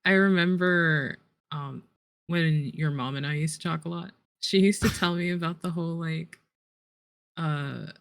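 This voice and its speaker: A woman's voice: deep voice, unhurried, gravelly, no room sound, studio quality. A woman chatting casually as a guest on a stream, with a giggly, teasing manner. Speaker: podcast guest, role-play developer. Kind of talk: casual, unscripted chat.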